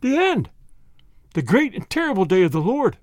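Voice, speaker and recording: Frantic, whining clergyman, high-pitched , played by a man. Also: sing-song, moderate pace, darkish tone, dry recording, studio quality. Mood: terrified. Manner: complaining, wailing, half-demented. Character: distraught, panicked male country clergyman.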